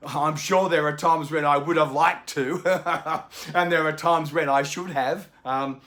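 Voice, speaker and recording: A man, a book video host, talking unscripted to camera at home in a chatty, polite way with lots of ums, his voice slightly croaky. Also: fairly high pitch, fairly even intonation, measured pace, a bit bright. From Australia. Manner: chatty and polite, many ums and small laughs. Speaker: BookTube host. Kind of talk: unscripted casual chat to camera. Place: home indoors in the evening.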